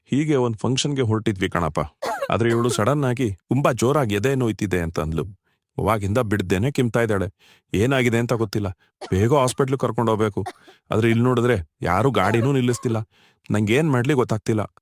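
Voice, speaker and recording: A man's voice: mid-range voice, fairly quick, dry recording, clean studio sound, natural voice. A man voicing an anxious, considerate middle-aged husband in a cartoon story, pleading and fatherly. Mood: worried. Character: anxious, considerate older husband seeking help. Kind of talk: cartoon short story dialogue.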